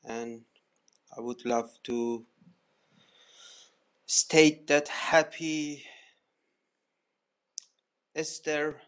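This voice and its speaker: Very slow, halting Iranian man. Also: a little high, steady tone, fairly bright tone. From Iran. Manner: halting, deliberate, long pauses. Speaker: voicemail caller. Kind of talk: formal spoken greeting and declaration.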